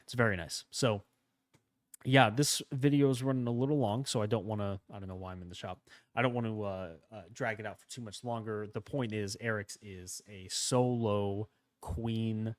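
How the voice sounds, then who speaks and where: A man with a slightly hoarse voice from a starting cold, casually commenting on his gameplay as he thinks aloud. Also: fairly low pitch, normal speed, no room sound, studio quality. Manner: rambling, relaxed, thinking aloud. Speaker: gaming channel host.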